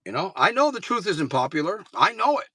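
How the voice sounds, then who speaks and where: Fifty-something guy coaching folks on a live stream, punchy forceful voice, dead dry sound, keeps repeating himself for emphasis. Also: sits high, big pitch swings, brisk, slightly rough, no room sound. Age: 50s. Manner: earnest, repeats phrases for emphasis; blunt and informal, swears casually. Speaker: gambling recovery coach. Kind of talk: motivational livestream talk.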